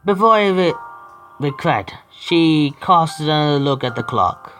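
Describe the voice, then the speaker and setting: A man hosting a gaming video, reading story text aloud haltingly with stumbles and adding casual asides. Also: a little high, animated, fairly bright tone. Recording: faint game music behind. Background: faint video game music.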